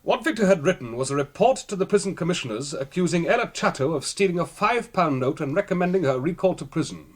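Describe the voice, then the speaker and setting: Principled house guest, high lively voice, dry wit, articulate, radio play. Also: male voice, quick pace, few pauses. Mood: defensive, earnest. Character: dry, principled gentleman house guest under suspicion, male. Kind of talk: radio drama acting.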